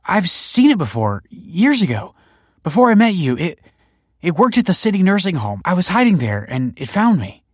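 A man's voice: on the low side, moderate pace, slightly rough, no room sound, studio quality. A man voicing an alarmed, insistent woman in a narrated story, emphatic and pleading. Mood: shaken, recollecting. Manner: emphatic, pleading, stammering when frightened.

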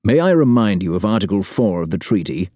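A man's voice: fairly low pitch, big pitch swings, a little fast, mellow, no echo. Narrator as English government man, public school, formal, wary, pedantic, dry recording. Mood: wary warning.

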